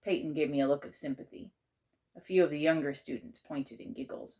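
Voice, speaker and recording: A woman reading a children's chapter book aloud in measured, expressive narration. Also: deep voice.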